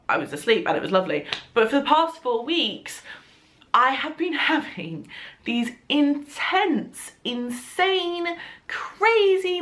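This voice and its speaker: A woman's voice: a little high, a bit bright. A young woman with a blocked, nasal voice from congestion, chatting casually to her camera. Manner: chatty, rambling, laughs now and then. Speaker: vlogger. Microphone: compact camera.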